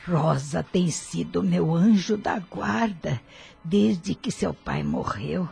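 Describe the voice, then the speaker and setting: An actress playing an ailing elderly mother in a radio drama, speaking softly and imploringly. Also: on the low side, expressive, slow, gravelly, breathy edge, soft background music, heavily acted. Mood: tender. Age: older. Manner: soft, imploring.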